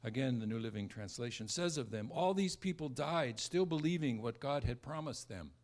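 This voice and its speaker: An American man in his seventies giving a sermon with scripture and personal stories, in a halting way with repeated words and restarts. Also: mid-range voice, animated, a little mellow. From born in America, raised largely in Southeast Asia. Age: 70s. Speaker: preacher, former missionary.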